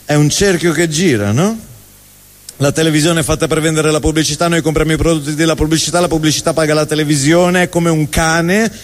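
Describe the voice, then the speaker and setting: A man's voice: a little high, fairly even intonation, a little fast. A man performing a theatrical comic storytelling monologue on a live stage. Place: indoor stage at a live event. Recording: reverberant hall, live stage.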